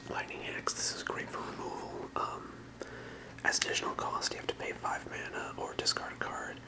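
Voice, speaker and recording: A person whispering in ASMR style, explaining things softly and without hurry as a creator presenting to viewers. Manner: soft, unhurried explaining in whispers. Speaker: ASMR creator presenting a trading card deck.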